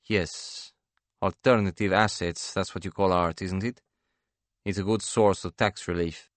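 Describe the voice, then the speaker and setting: A man's voice: deep voice, steady tone, a little slow, dry recording. Man voicing a passionate, emphatic young woman painter. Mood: cool, wary.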